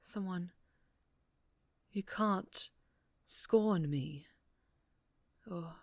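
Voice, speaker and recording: A woman plays an obsessive superheroine in an ASMR drama, wounded and menacing. She speaks slowly and haltingly, slipping into sweet, breathy whispers. The sound is dry and intimate. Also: on the low side, no echo, exaggerated voice. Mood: wounded, brooding.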